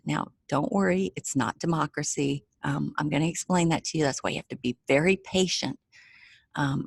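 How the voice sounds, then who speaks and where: An American woman with a low-pitched voice talks informally to her viewers as an astrologer. She explains things patiently and step by step, reassuring listeners and checking in as she goes. The sound is dry, with very little room echo. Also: vocal fry, a little mellow, slightly rough. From United States. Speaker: astrologer presenting a chart reading. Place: indoors, away from home.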